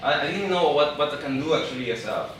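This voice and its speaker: A man from Peru in his thirties, speaking English with a Peruvian Spanish accent, gives a live talk to an audience. He speaks haltingly, often repeating and restarting words. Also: a little high, animated, a bit bright, very echoey, far from the mic, a little hiss or hum, rough recording. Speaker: event speaker. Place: event hall.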